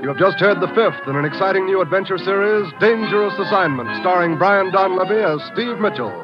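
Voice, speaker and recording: A man's voice: sits high, brisk, lo-fi sound. A man giving formal, declaiming 1950s radio announcements. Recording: old radio broadcast with music.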